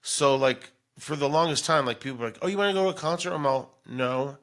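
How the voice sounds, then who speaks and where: A man with a very bright, forward voice and middling pitch hosts a podcast. He banters casually in a jokey, exclamatory manner, like someone swapping stories with a co-host. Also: fairly quick.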